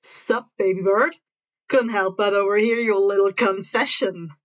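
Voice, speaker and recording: A woman's voice: medium pitch, steady tone, measured pace, muffled recording, pitched below the performer's own voice, natural voice. A woman voicing a cocky, easygoing young male hero with a breezy, teasing manner. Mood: smug, teasing.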